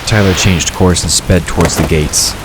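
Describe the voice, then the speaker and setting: Very deep-voiced male story narrator. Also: steady tone, normal speed, very noisy. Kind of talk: scripted fantasy narration.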